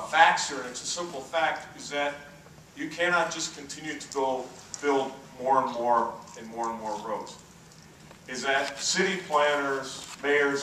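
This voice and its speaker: Booming-voiced man in an echoey hall. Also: distant mic, a little hiss or hum. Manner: relaxed, self-deprecating storytelling with frequent "uh" fillers. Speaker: keynote speaker. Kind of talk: conference keynote speech. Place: large conference hall.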